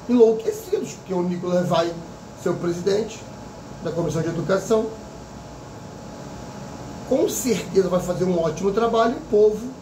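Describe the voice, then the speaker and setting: A man's voice: high-pitched, reverberant, far from the mic, noisy background. A man in his fifties, a psychic vlogger giving an opinionated casual monologue full of repetition and rhetorical questions. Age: 50s.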